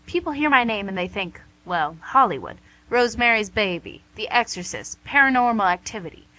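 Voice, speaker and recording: A woman's voice: on the high side, pushed and bright, heavily acted, poor audio. A woman playing a smug, coaxing female devil in a comic radio play, with a theatrical, glib delivery. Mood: smug, persuasive. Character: smug, wheedling, image-conscious female devil called Lucy.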